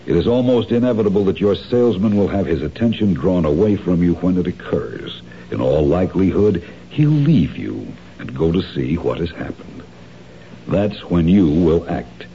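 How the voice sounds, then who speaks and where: A suave, deep-voiced schemer. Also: male voice, animated, dark tone. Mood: instructing. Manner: suave, patronizing, measured. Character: smooth, polite, scheming male criminal mastermind. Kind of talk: 1970s radio drama acting. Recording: dull lo-fi old radio broadcast, faint hiss.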